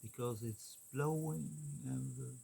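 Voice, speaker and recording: A man talks slowly, leaving long pauses between short phrases. His voice is gravelly and husky. He rambles casually, hunting for his words as he goes. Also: medium pitch, huge pitch swings, mellow. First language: Swedish. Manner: halting, many pauses and fillers. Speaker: vlogger.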